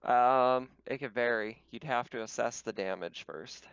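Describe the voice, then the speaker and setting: A man's voice: mid-range voice, a little slow, gravelly, a bit bright, clean tone, highs cut off. A man running a tabletop roleplaying game as game master, talking casually and thinking aloud as he goes.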